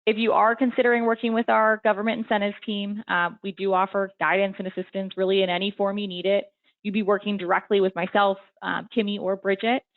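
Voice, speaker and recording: Gravelly woman presenting on a tinny line. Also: mid-range voice, no echo. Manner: friendly, frequent ums and you-knows. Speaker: webinar presenter and consultant. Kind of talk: casual webinar explanation. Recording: tinny phone-like webinar audio.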